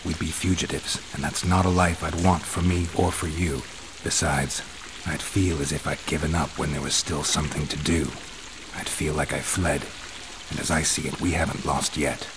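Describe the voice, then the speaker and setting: A man playing a stoic, brooding monster hunter in a video game, gravelly, terse and deadpan. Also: very deep voice, moderate pace, noisy background. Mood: resolute, guarded.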